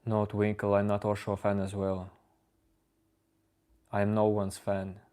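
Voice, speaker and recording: A man whose first language is Latvian, calmly answering viewers' chat questions on a live stream. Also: lowish voice. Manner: calm, unhurried replies to chat. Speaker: livestream host answering chat.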